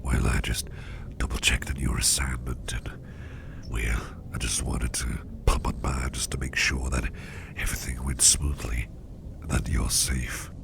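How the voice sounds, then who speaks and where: A very low, heavily creaky whisper from a doting, protective gentleman boss acted by a man in an ASMR roleplay. He is soothing and a little hesitant, repeating gentle reassurances. There is clear noise and ambience behind him. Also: flat delivery, a little slow, full character voice. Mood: soothing, concerned. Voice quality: breathy, gravelly whisper. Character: caring, protective male boss, gentlemanly businessman, soft-spoken and doting. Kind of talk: ASMR roleplay, intimate personal attention.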